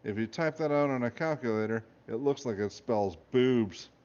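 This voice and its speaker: A man's voice: medium pitch, moderate pace, a little mellow, muffled recording, lightly acted. A man doing an eager nerdy boy.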